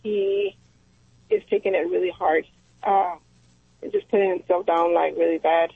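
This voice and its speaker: A woman's voice: on the low side, fairly even intonation, moderate pace, vocal fry, slightly rough, dry recording. A middle-aged woman from Texas calling in to a radio show, worried and hesitant, with many fillers. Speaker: caller. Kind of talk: call-in conversation. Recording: tinny phone line.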